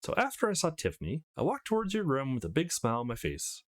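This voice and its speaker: Visual novel role: male reader as feisty young woman, foul-mouthed tease, blunt gossip, very lively intonation. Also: medium pitch, quick pace. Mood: indignant. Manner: cheeky, blunt, gossipy storytelling.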